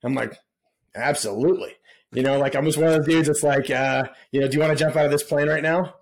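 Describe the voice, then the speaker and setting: A man's voice: a little high, steady tone, fast. A middle-aged man telling stories as a podcast guest in a casual, laid-back way, often saying dude and man. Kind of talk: casual storytelling interview.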